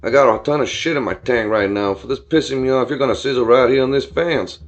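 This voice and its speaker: A man's voice: medium pitch, fast talker, natural voice. A man voicing a crude, aggressive young frat-bro bully who taunts and swears. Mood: taunting. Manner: loud, swaggering, foul-mouthed taunting. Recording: dull lo-fi with faint music.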